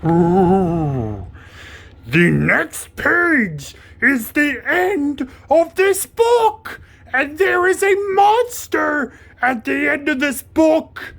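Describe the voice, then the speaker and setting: Furry lil puppet monster for a kids storybook, gravelly, super dramatic, swoops all over the place. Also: male voice, very high-pitched, very animated, fairly bright tone, exaggerated voice. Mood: terrified, begging. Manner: melodramatic, drawn-out, emphatic delivery without contractions. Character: lovable furry male monster, excitable, easily frightened, pleading. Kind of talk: theatrical children's storybook puppet performance.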